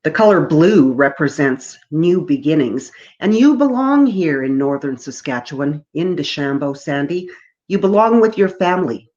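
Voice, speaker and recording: A woman's voice: low voice, animated, moderate pace, warm tone, lo-fi sound. A woman voicing a pushy, preachy man with a solemn, instructing manner in a storybook reading. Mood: solemn, insistent. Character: pushy, preachy man with salt and pepper braid.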